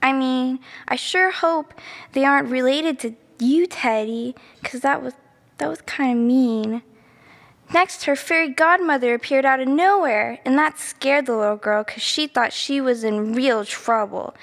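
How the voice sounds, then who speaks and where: A woman's voice: high voice, a little slow. A woman playing a chatty, easily sidetracked little girl who rambles through a bedtime story with naive asides and self-corrections. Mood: earnest, chatty. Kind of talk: children's storybook monologue. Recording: long reverberant room echo.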